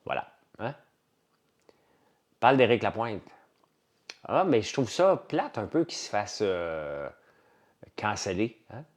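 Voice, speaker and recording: Man, low voice, Quebec French accent, casual livestream chat, blunt, rambling, colloquial. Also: big pitch swings, slow, pauses often. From Quebec, Canada. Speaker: livestream host.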